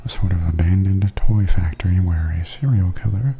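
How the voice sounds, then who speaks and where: A man giving softly spoken ASMR tour commentary in a breathy half-whisper, calmly and gently describing things as a host. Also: deep voice. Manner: calm, unhurried, gently descriptive.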